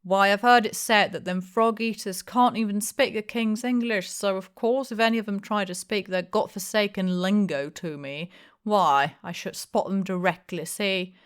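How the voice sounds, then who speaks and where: A woman voicing a blustering, jovial, opinionated male innkeeper in an audiobook, with loud, emphatic, rustic speech. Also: medium pitch, moderate pace, clear, resonant tone, voice pushed up, natural voice. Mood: boastful, amused.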